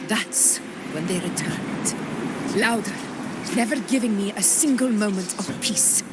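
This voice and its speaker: A woman voicing a proud, haunted warrior ruler in a video game, speaking in a solemn, measured, confiding way. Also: medium pitch, natural voice. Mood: troubled intensity. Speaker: game character. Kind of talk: scripted dramatic game dialogue. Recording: voice under loud game music.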